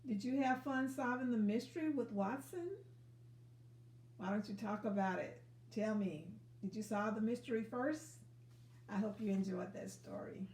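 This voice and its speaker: A woman reading a children's story aloud expressively, pausing to encourage young listeners with questions. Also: mid-range voice, a little mellow, slight background noise. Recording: echoey room sound.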